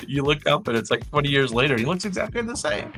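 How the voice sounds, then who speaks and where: A man's voice: fairly high pitch, big pitch swings, fast, fairly bright tone, soft background music. A man hosting a casual live trivia show, reading out facts and adding chatty, rambling asides.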